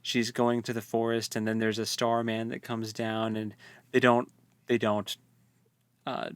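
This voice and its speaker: Male podcast co-host, mid-pitched, very dry recording, rambling informal book chat. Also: monotone, a little slow, smooth, no room sound. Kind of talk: casual book discussion.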